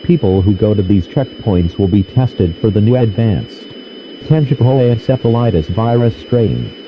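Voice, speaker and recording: A man's voice: fairly low pitch, animated, moderate pace. A man's stiff, synthetic voice reading a formal scripted emergency announcement over a lo-fi, noisy radio broadcast. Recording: dull lo-fi radio with clear noise.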